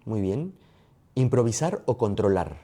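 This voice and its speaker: Male podcast host, dark mellow tone, brisk questioner. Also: on the low side, sing-song. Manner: quick rapid-fire questions. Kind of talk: interview.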